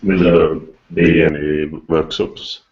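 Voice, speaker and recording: A man's voice: very deep voice, flat delivery, takes it slowly, darkish tone. A man hosting a podcast in a casual, conversational way. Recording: dull lo-fi podcast recording.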